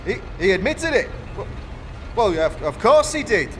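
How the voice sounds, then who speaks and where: A man voicing a shifty workman villain in an audio drama, blustering in rough slang and tripping over words under pressure. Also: sits high, very animated, normal speed, fairly bright tone, slight background noise, pitched above the performer's own voice. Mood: wary, rattled.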